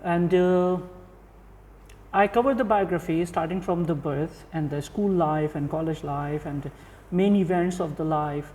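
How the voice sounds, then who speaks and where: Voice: medium pitch, unhurried, darkish tone, in a large hall, a little hiss or hum. An interviewer hosting a podcast, asking questions in a conversational way and affirming often with short okays.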